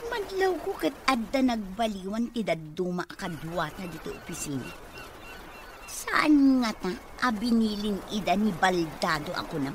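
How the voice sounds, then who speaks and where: An actress with an Ilocano accent playing a nosy, suspicious office employee in a radio comedy drama. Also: female voice, medium pitch, very animated, measured pace, noisy background. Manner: suspicious, pointed.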